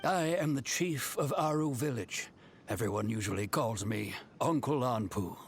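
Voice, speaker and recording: A courteous middle-aged chief played by a man in a video game, with a medium-pitched voice. He speaks in a polite, measured way over faint background noise. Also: expressive, lightly acted.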